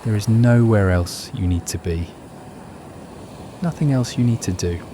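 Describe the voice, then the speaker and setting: Slow, low-voiced man guiding meditation. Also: noisy background. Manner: soothing, unhurried, measured. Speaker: meditation guide. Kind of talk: guided meditation narration.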